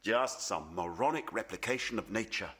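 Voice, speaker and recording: Radio play: troubled, emphatic painter father, rough-edged voice, animated intonation. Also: on the low side, moderate pace, exaggerated voice. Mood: scornful, lofty. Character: proud, troubled male painter, father of a grown daughter.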